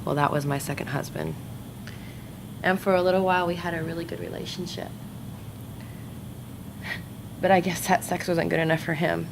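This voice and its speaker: Woman playing a bitter divorced mom, gravelly voice, blunt, noisy film audio. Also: on the low side, flat delivery, fast. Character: bitter twice-divorced mother of two. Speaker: support group member.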